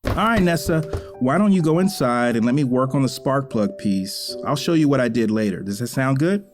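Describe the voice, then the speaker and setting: A man's voice: medium pitch, animated, normal speed, music in the background, natural voice. Man playing encouraging, teasing middle-aged dad. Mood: proud, encouraging. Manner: encouraging, gently lecturing. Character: warm, teasing father of teenagers, middle-aged. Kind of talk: audio drama dialogue.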